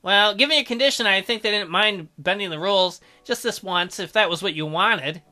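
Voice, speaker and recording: Guy playing a gentle flustered doctor man in a visual novel, highish bright voice, stammery and hesitant. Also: a little fast, soft background music. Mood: sheepish. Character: gentle, flustered male doctor, self-described old man.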